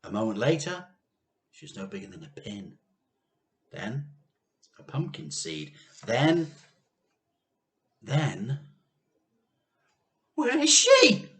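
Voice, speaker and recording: A man reading a children's story aloud with expressive, theatrical narration and dramatic pauses. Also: mid-range voice.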